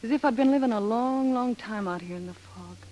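A woman's voice: on the high side, a little fast, mellow, natural voice. An actress playing a tough, world-weary young woman with blunt slang in a 1930s radio drama. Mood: dreamy, wistful. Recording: noisy old 1930s radio recording.

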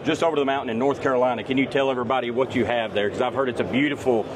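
A man's voice: medium pitch, steady tone, very fast. A man, hosting a podcast interview on a trade show floor in an upbeat, enthusiastic way. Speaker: podcast host. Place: busy expo hall floor. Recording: crowd noise in a busy hall.

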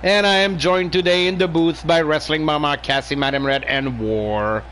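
A man's voice: on the high side, fairly even intonation, a bit bright, slight background noise. A man hosting his own wrestling game stream, calling the match in a loose, teasing way and trading jokes with his co-hosts. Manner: teasing, joking, quick to laugh. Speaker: stream host and commentator. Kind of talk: casual play-by-play and banter.

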